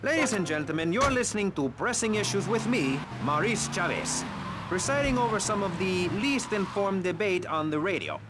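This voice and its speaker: An actor playing a vain, exasperated radio talk show host in a satirical video game, theatrical and self-important. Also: male voice, high-pitched, animated, moderate pace, noisy background. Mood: wry, presenting.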